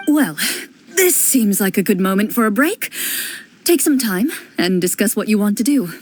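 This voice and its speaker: Calm, reserved swordswoman. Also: mid-range voice. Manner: calm, measured, explanatory. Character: composed young female duelist, serious and reserved. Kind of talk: game cutscene dialogue. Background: game music.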